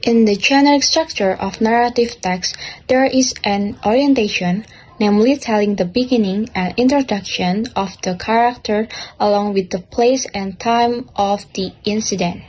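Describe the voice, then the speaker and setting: A woman's voice: medium pitch, unhurried, a little mellow, rough recording. A young woman with an Indonesian accent, reading scripted educational text for a student podcast.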